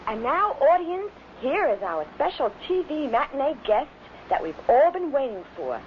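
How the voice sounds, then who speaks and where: A woman's voice: high-pitched, sing-song, normal speed. A woman announcing a guest in a broadcast introduction style. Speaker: TV show announcer. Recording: dull, faintly noisy vintage broadcast.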